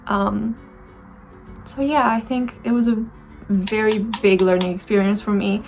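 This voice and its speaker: A woman's voice: medium pitch, steady tone, unhurried, a little mellow, soft background music, rough recording. A woman in her twenties from Romania with a Romanian accent, chatting casually and reflectively to camera while doing her makeup, with many fillers and restarts. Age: 20s. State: dry throat. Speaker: beauty vlogger.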